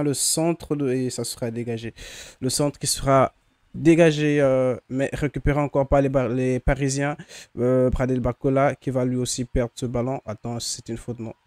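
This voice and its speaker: A man with a mellow, darkish voice, worn by the flu, calls a football match live for his viewers. He hesitates often, filling gaps with drawn-out fillers and restarting his phrases. The sound is dry and close, with no room around it. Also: mid-range voice, clear, resonant tone, no room sound. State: has the flu, voice not at its best. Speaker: fan live-streamer commentating a match. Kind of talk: casual live match commentary with chat.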